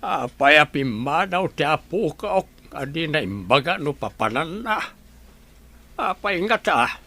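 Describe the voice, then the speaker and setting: Man playing a creaky, high-pitched elderly Ilocano grandfather. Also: animated, unhurried, sharp tone, exaggerated voice. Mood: worried, questioning. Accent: Ilocano Filipino. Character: elderly grandfather, worried and questioning. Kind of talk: amateur audio drama acting.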